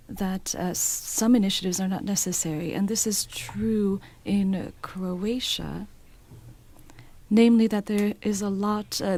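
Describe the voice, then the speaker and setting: A woman interpreting a meeting speech simultaneously, relaying it at a measured, steady pace. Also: mid-range voice.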